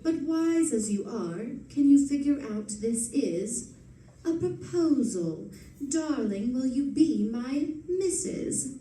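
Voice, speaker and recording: An actress playing a witty, affectionate, teasing society wife in the style of 1940s radio drama. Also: female voice, high-pitched, lively intonation, takes it slowly, mellow. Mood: playful teasing. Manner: teasing, arch delivery. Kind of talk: live recreation of 1940s radio acting. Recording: roomy stage recording from a distance.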